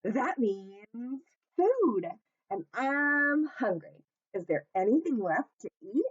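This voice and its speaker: Woman doing a slow, sleepy, hungry toy hippo. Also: a little high, very animated, breathy edge, lo-fi sound, pitched above the performer's own voice, exaggerated voice. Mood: hungry, hopeful.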